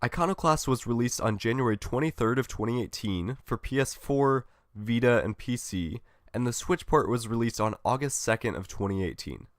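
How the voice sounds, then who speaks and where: A man's voice: mid-range voice, lively intonation, moderate pace, few pauses, no room sound. An upbeat young man hosts a solo gaming podcast and reads his script in a friendly, chatty way. He is getting over a cold. The recording is dry and close, with no room sound. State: recovering from a cold. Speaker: podcast host.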